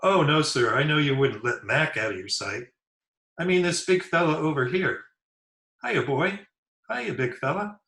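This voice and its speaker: A man's voice: a little high, lively intonation, normal speed, sharp tone. Man as an eager, dim schoolboy, echoey. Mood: eager, friendly. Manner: folksy, gee-whiz, simple. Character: eager, dim, good-natured teenage schoolboy. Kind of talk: old-time radio comedy acting. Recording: echoey room over remote call.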